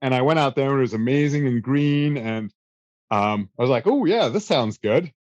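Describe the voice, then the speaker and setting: A man in his thirties chats about his own past with a loose, self-deprecating ease, sprinkling his answers with fillers and small restarts. His voice sits at an ordinary middle pitch, recorded close in a dry room. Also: moderate pace, no echo, dull audio. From South Africa. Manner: relaxed, self-deprecating storyteller with many fillers. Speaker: guest. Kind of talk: casual interview answers.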